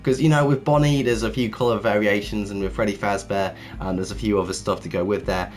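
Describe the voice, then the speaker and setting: Slightly raspy man hosting a tutorial. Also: on the low side, steady tone, a little fast. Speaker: host of a Minecraft build tutorial series. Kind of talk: video tutorial narration. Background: background music.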